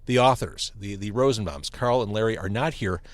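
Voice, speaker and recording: A man's voice: fairly low pitch, fairly quick, no echo. A man hosting a casual podcast conversation from a restaurant, introducing guests with a joking manner. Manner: lively, joking, reads a review aloud. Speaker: podcast host. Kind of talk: casual chat.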